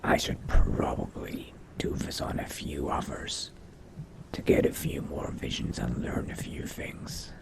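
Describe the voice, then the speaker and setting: A man voicing a brooding, hungry vampire mage in a slow, halting, low gravelly whisper, musing to himself in a video game roleplay. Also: close to an everyday voice. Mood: sated, scheming.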